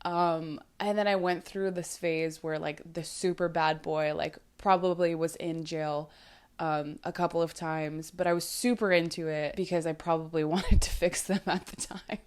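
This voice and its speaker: A woman's voice: low voice, steady tone, moderate pace, darkish tone, smooth. A young woman hosting a casual dating podcast, chatty and loose with lots of likes and ums, her voice still sleepy from just waking up. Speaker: podcast host and dating coach.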